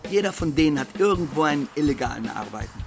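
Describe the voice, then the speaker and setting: A man's voice: a little high, lively intonation, fast, creaky. A man playing a scornful, exasperated character in a radio play monologue. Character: scornful, exasperated man railing at pretence of order. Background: drum music.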